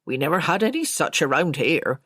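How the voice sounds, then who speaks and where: A woman's voice: sits low, sing-song, fairly quick, a bit bright, husky, no echo, clean studio sound, exaggerated voice. A woman voicing an artless, talkative servant girl with naive, colloquial speech in an audiobook. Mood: earnest.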